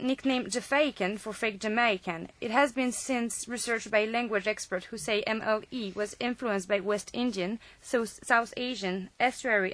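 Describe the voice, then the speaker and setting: A woman with a higher voice presents a student radio show, reading her script in a clear, even way. The studio sound is close and dry. French is her first language. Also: dry recording, poor audio.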